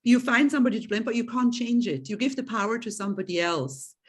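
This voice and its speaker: A woman's voice: on the high side, fast talker, breathy edge. A woman from Switzerland, a guest in an interview, speaking earnestly and repeating key points for emphasis. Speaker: guest, coach and author. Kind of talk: interview conversation.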